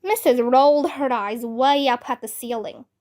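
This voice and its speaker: A woman reading a children's book aloud as narrator, with expressive, deliberate delivery. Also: sits high. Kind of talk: scripted storybook narration in a child's first-person voice.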